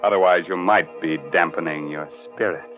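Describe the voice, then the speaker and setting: Actor as a ghoulish radio host, dull vintage recording. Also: male voice, low-pitched, fairly even intonation, moderate pace, lightly acted. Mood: macabre mischievous. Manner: mock-courteous, punning, theatrical. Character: ghoulish, wisecracking old bachelor horror host. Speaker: host of a horror radio anthology. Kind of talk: 1940s radio acting. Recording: muffled 1940s radio recording with music behind.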